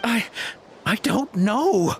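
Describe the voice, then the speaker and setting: Nervous, high-voiced henchman. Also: sing-song, extremely slow, gravelly, a little hiss or hum, full character voice. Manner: hesitant, stammering. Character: nervous, cornered male henchman confessing. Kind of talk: video game voice acting.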